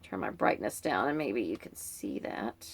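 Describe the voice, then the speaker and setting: A woman's voice: a bass voice, animated, moderate pace, gravelly, slightly rough. A woman chatting informally in a book vlog, meandering through her reading list and often interrupting herself. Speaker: book vlogger.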